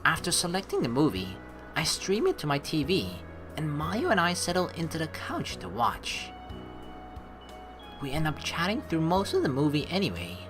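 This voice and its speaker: A woman doing a let's play, with a low voice and lively, swooping intonation. She narrates on-screen text and slips into playful commentary over background game music. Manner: playful, casual, teasing asides.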